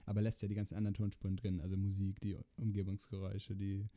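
Fast, flat-voiced man, very dark tone. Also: low voice. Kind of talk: casual chat.

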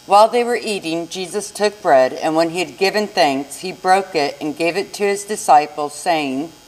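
Low-pitched woman narrating scripture. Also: normal speed, a little mellow, rough recording. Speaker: narrator of a church Passion reading. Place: inside a church.

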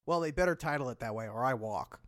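A man plays a reckless, carefree slacker adventurer in a fantasy comedy audio drama. He rambles casually and dimly, with lively swoops in pitch. The recording is close and dry. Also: fast, no room sound, lightly acted. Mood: cheerfully absurd. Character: carefree, dim, reckless adventurer who loves chaos.

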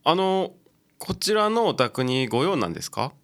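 Radio drama local played by an actor: easygoing, nosy, very lively, swinging pitch. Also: moderate pace. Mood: polite, helpful.

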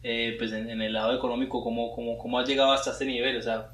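A man's voice: medium pitch, level tone, fast, fairly bright tone, reverberant, far from the mic. A man co-hosting a podcast, asking questions with lots of fillers and rephrasings. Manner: hesitant, many fillers and rephrasings. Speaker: podcast co-host. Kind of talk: interview questions.

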